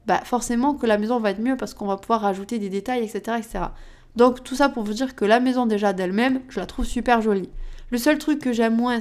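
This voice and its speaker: A young woman with a hoarse, husky voice from being ill, chatting casually and thinking aloud as she plays a building game. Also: mid-range voice, darkish tone. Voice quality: husky, cracked voice. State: hoarse from illness. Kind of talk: casual gameplay commentary.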